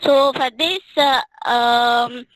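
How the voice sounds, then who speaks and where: A woman's voice: high-pitched, fairly even intonation, drawn-out words, fairly bright tone, dry recording, poor audio. A young woman, giving a hesitant talk about a mock interview with many fillers and restarts. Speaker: student speaker.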